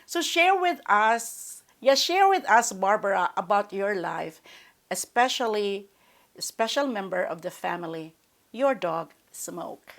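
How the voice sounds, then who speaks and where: A woman's voice: mid-range voice, animated, a little slow, a bit bright. Woman hosting an interview. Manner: formal, announcer-like introductions with slips. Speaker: interview show host.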